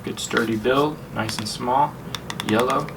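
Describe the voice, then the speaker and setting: A man's voice: medium pitch, measured pace. A man hosting a casual unboxing review, talking informally and thinking aloud as he handles things. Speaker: gadget review channel host. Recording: distant mic, clearly noisy, handling crinkle.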